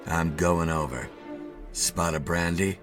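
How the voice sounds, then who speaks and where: Man as a slow, low, fearless hero. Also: over music. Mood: weary, careless. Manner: calm, unhurried, nonchalant. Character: cool, fearless, weary male military hero.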